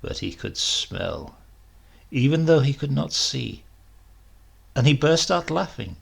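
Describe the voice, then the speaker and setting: A man narrating a short story in a measured, expressive way, with deliberate pauses for drama. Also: mid-range voice, vocal fry, husky. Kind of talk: dramatic scripted literary narration.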